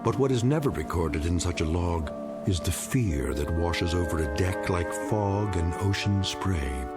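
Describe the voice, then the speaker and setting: A man narrating an eerie radio drama in a grave, measured, portentous manner. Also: low voice, expressive. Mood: ominous. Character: grave, ominous male narrator of eerie tales. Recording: music bed under the voice.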